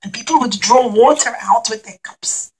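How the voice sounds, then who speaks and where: A woman with a high voice leads a puppet show for children. She narrates in simple phrases with strong emphasis. She speaks to her young listeners as an encouraging teacher. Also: moderate pace, husky.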